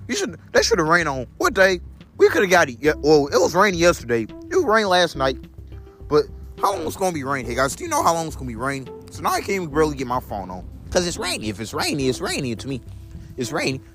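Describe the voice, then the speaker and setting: A young man with a deep voice vlogging to his phone camera while walking outdoors in the rain. He repeats his phrases over and over and keeps calling his viewers "guys". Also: a bit bright, husky. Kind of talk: rambling phone vlog on a walk.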